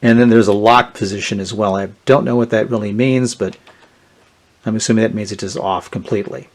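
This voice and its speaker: A man's voice: fairly low pitch, steady tone, a little fast. A man giving a casual, unscripted hands-on product walkthrough in a plainspoken, explanatory manner. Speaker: gadget reviewer. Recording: clear background noise and clicks.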